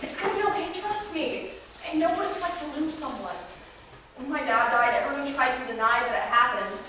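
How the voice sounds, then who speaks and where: A woman's voice: sits high, fairly quick, bright, forceful tone. A woman playing a feisty, blunt but caring young-show character in a staged reading, emphatic and earnest. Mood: earnest, consoling. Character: feisty, blunt yet consoling resident of troubled TV street. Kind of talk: theatrical stage acting. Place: staged reading in an echoey room. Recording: echoey room, distant mic, faint noise.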